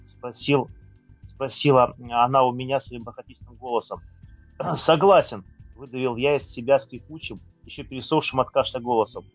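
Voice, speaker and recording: Guy sharing and reading his own writing, medium pitch voice, kinda muffled recording, faint music in back. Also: normal speed, no echo. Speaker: speaker presenting and reading from own book. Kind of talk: informal talk and reading own story aloud.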